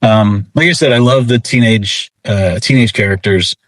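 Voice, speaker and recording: A man's voice: on the low side, moderate pace, no echo. A man speaking casually as an interview guest, rambling and self-deprecating. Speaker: interview guest, comic book writer.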